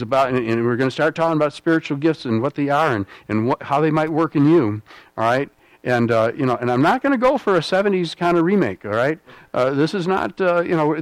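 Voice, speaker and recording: A man's voice: fairly high pitch, no room sound, rough recording. A man from the United States preaching a rambling, conversational sermon, with frequent "all right?" check-ins and self-deprecating humor. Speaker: pastor.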